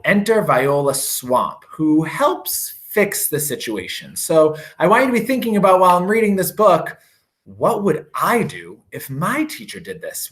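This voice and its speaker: A man reads aloud to children like an expressive storyteller. His voice is bright and very lively in pitch, with unhurried, theatrical emphasis.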